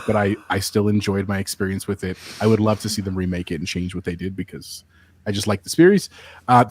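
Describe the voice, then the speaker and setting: A man's voice: on the low side, a little mellow. A man hosting a casual livestream podcast, rambling easily about his favorite games. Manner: easygoing, rambling gamer talk. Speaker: podcast host.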